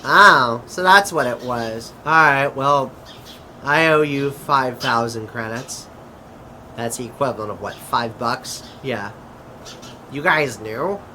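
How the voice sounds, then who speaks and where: Over a noticeable bed of noise comes a man playing a chatty interdimensional adventurer. He talks slowly and hesitantly at a middling male pitch. The delivery is conversational audio drama dialogue. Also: sharp tone. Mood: surprised, casual.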